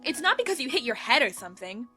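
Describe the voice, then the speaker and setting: A woman playing a bossy, suspicious, hot-tempered high school club leader in an amateur anime audio drama, blunt and demanding. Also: sing-song, quick pace. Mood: accusing. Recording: loud music behind the voice. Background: loud music.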